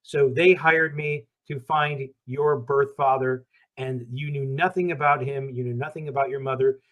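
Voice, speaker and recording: A man's voice: medium pitch, steady tone, normal speed, muffled recording. A middle-aged man hosting an interview, asking questions in an upbeat, conversational way. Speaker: host, founder of a search service.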